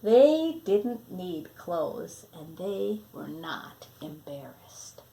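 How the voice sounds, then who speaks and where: A woman reading a children's story aloud expressively, with deliberate phrasing that sometimes drops to a hush. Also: lowish voice, very animated, vocal fry, slight background noise, rough recording. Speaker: storybook reader.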